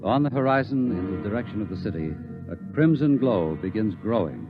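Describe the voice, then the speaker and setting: A man's voice: lowish voice, big pitch swings, brisk. A man narrates with grave theatrical weight and a voice that rises and falls widely. The vintage broadcast is dull, with music swelling underneath. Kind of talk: dramatic radio narration.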